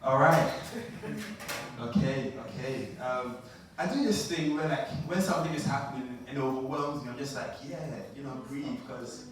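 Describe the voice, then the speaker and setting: A man's voice: mid-range voice, normal speed. Laid-back male host, chatty, very echoey hall, noisy distant mic. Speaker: event host. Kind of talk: live event hosting. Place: large indoor event hall.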